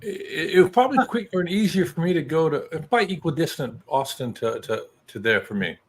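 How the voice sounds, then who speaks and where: A man's voice: on the high side, expressive, a little fast, slightly rough. Man in his early sixties, chatting casually. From New Mexico, United States. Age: early 60s. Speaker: guest joining a live chat show. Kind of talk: casual chat.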